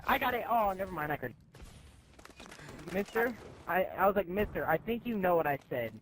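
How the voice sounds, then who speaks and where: A high-voiced teenage boy spins a casual story for his friends. He talks in slang and acts out each side of the conversation. The recording is noisy, from a gaming chat mic with game gunfire in the background. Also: normal speed. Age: teenager, high school student. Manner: animated, acts out both sides of conversations, slangy. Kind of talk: casual anecdote telling among friends.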